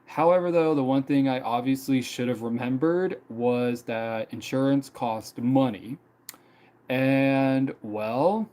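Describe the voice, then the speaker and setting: Young man, mid-twenties, mellow relaxed tone, loose rambling live-stream chatter. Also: medium pitch. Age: 20s. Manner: rambling, many fillers and repeats. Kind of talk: casual personal storytelling.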